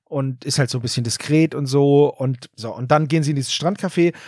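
A man's voice: mid-range voice, brisk, darkish tone, dry recording, studio quality. A man co-hosting a casual podcast chat, laying out plot details and reading passages from a book. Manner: explains plot details, reads aloud from the book. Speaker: podcast co-host.

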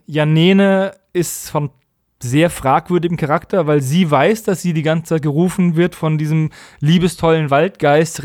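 A man's voice: a little high, a little mellow, no room sound, clean studio sound. A man recapping stories as a podcast co-host in a colloquial, humorous, mockingly opinionated way. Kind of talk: casual humorous episode recap.

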